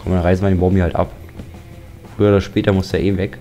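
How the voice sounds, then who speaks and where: A man's voice: low-pitched, flat delivery, fast, warm tone. A man giving casual, joking commentary while playing a building game, grumbling at monsters along the way. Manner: chatty, joking, grumbles at mobs. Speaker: Let's Play commentator. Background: game music.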